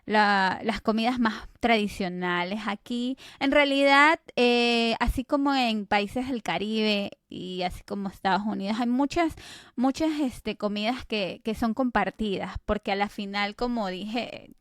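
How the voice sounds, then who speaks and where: A woman's voice: medium pitch, unhurried, a bit bright, smooth, no echo, studio quality. A woman from Ecuador co-hosting a podcast, chatting casually with frequent fillers. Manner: conversational, many fillers like "este" and "eh". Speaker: podcast co-host.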